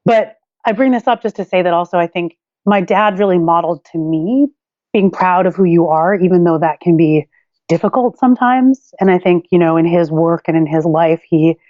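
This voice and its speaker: A woman in her forties speaking as an interview guest, candid and reflective, her thoughts tumbling out in restarts punctuated by light laughter. Her voice sits a little low and is recorded close and dry. The audio is somewhat dull and lo-fi. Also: moderate pace, no room sound. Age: 40s. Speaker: guest author. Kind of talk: reflective personal storytelling.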